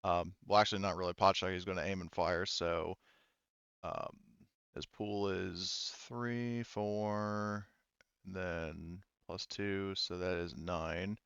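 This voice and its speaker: A man's voice: sits low, little pitch movement, takes it slowly, creaky, a bit bright, clear, resonant tone, no room sound, dull audio. A man running a tabletop game as game master, narrating casually and swearing freely.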